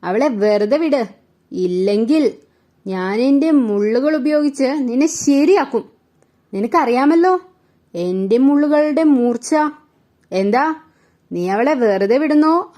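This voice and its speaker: Woman as a high-voiced earnest little porcupine. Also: expressive, a little slow, pauses often, warm tone. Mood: firm, warning. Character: lonely young male porcupine, gentle, loyal friend.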